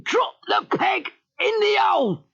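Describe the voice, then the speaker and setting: A man playing a bossy, hapless crook gang leader with a strained growl and rough grammar in a cartoon audio story. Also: very high-pitched, lively intonation, measured pace, a bit bright, heavily acted. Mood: strained, desperate. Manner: rough ungrammatical speech. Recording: dull lo-fi cassette transfer.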